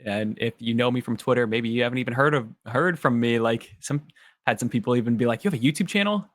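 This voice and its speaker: A man chatting as a guest on a sports podcast, easygoing and quick to laugh. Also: medium pitch, no echo, clean studio sound. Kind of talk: casual sports podcast chat.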